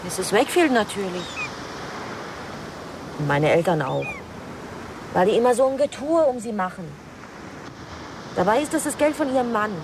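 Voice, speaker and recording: Casting: young woman for sulky, dramatic teen girl in a radio thriller, mid-pitched, blunt and gossipy, with clear sea-like noise. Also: lightly acted. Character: sullen, dramatic, defiant teenage girl. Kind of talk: German radio crime drama acting. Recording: noisy track with sea-like ambience.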